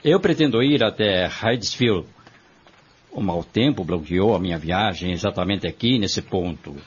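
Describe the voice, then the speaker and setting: A courteous travelling salesman played by a man in a radio drama, formal and grateful toward his hosts. The voice is husky and lively in its melody, caught on a dull lo-fi recording. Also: deep voice, normal speed. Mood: courteous, explaining. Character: polite, grateful male travelling salesman in 1840s America.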